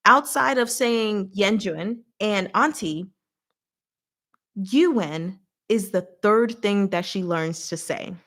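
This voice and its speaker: Chatty, expressive woman hosting a book recap. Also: mid-range voice, takes it slowly. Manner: chatty, expressive, giggly, slangy. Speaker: host of a live book recap and discussion. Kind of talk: casual fan commentary to a live audience.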